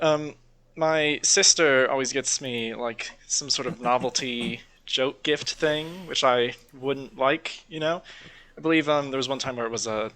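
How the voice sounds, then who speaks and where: A man's voice: medium pitch, sharp tone. A man co-hosting a podcast, chatting casually and telling stories in a rambling way with mock-formal asides. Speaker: podcast co-host.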